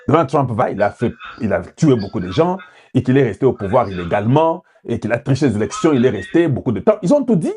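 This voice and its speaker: Man, from Congo, political commentary, rhetorical questions to viewers, dark tone. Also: mid-range voice, big pitch swings, quick pace. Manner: rhetorical, asks the audience questions, mixes in Lingala.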